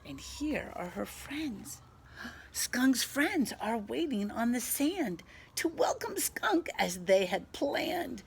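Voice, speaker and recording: A woman teacher reading a picture book aloud to young children, animated, pausing to explain things simply. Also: on the high side, vocal fry, slight background noise. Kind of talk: expressive picture-book read-aloud with asides.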